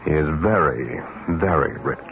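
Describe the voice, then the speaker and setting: An actor playing a smooth, secretive businessman with a sinister offer, evasive and deliberate, in an old-time radio drama. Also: very deep voice, lively intonation, fairly bright tone, full character voice. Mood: coy, knowing. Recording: muffled narrow-band vintage radio, faint noise.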